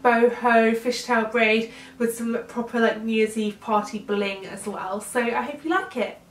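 A woman's voice: fairly high pitch, a bit bright. Bunged-up woman doing a hair tutorial. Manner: chatty, upbeat, often trails off while styling hair. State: congested from a cold. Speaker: beauty vlogger demonstrating a hairstyle. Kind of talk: casual how-to tutorial. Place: bedroom, indoors. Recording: roomy, slightly distant sound.

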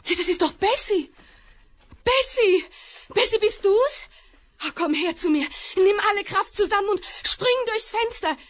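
A woman's voice: extremely high pitch, expressive, unhurried. An actress playing a tied-up young woman in a radio play, calling out in urgent pleas. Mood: urgent, pleading. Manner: urgent, pleading calls. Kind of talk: 1970s radio play acting.

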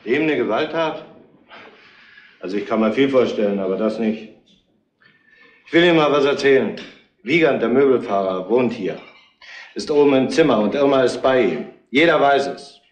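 An actor playing a testy, blunt witness in a retro crime radio play, with curt colloquial delivery. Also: male voice, medium pitch, expressive, moderate pace, long pauses, lo-fi sound, natural voice. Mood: emphatic. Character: testy, blunt male witness in a murder inquiry. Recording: roomy echo, faint noise.

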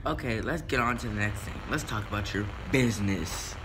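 A man's voice: on the low side, brisk, lightly acted. A male performer playing a polite 1920s radio show co-host in an amateur scripted interview. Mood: politely probing. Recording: clear noise behind the voice.

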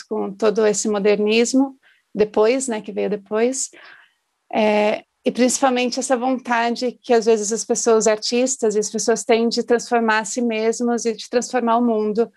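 Woman in her thirties giving an academic talk over a video call, her fairly high voice edged with gravelly fry. She stays flat and even, often tagging her points with a questioning "né?", speaking from a small room at home. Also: moderate pace. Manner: thoughtful, with tag "né?". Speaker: postdoctoral researcher.

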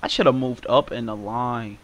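A man's voice: medium pitch, moderate pace, dry recording, muffled recording. A young man joking around playfully with friends on game voice chat. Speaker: gamer on voice chat. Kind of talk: casual gaming chat.

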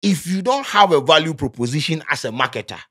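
Ghanaian man, thirties, podcast host, lively, pidgin-laced English, studio mic. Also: fairly high pitch, fast, husky. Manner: animated, gestures a lot, mixes pidgin into English. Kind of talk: casual chat. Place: small studio with padded walls. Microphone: studio mic on boom arm.

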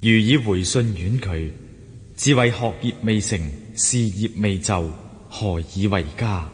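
An actor playing a melancholy, lovelorn young scholar in a radio drama, voicing his letter in formal, measured literary phrases. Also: fairly low pitch, lightly acted. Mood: melancholy, yearning. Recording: long hall-like reverb on voice.

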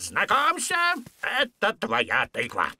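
A man's voice: mid-range voice, takes it slowly, loud music behind the voice. A man voicing a confident, reassuring old grandfather pig in a children's cartoon dub. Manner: reassuring, explanatory, expressive.

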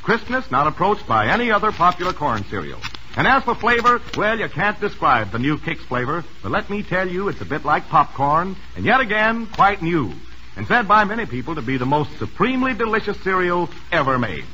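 A man's voice: high-pitched, very animated, brisk, bright, forceful tone, low-quality recording. An American man reading an old-time radio advertisement with polished, enthusiastic salesmanship. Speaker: radio announcer and pitchman. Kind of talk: 1930s-40s radio advertising read. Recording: old radio recording, faint noise.

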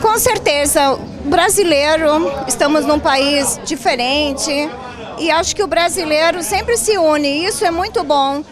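A woman's voice: high voice, a little slow. A woman reporter interviewing guests at a busy event venue with a handheld mic, upbeat and friendly. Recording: noisy venue with music and crowd.

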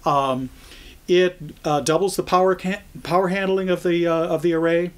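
Older American man explaining products, conversational and halting. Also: high voice, steady tone. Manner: conversational, halting, thinking as he goes. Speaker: product trainer. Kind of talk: informal product explainer to camera.

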